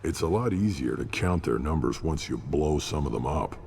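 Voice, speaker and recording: A man playing a gruff, battle-hardened alien scout commander in a video game, speaking bluntly in a gravelly voice. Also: very deep voice, lively intonation, moderate pace, a little mellow, heavily acted. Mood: gruff, matter-of-fact. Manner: blunt, matter-of-fact. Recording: long reverb, clear background noise.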